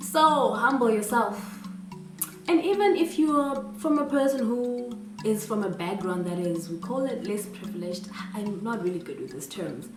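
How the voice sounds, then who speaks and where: A woman's voice: mid-range voice, reverberant. Zimbabwean woman in her late 20s giving students advice, bit far from the mic, music playing under. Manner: earnest and encouraging, with rhetorical questions. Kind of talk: advice vlog, direct address.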